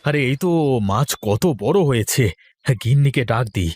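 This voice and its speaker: A man's voice: medium pitch, moderate pace, darkish tone, no echo, close to an everyday voice. A man voicing a mild, homely married snack seller in a children's cartoon. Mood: pleased. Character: mild, homely married fuchka seller who farms fish. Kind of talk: Bengali children's cartoon acting.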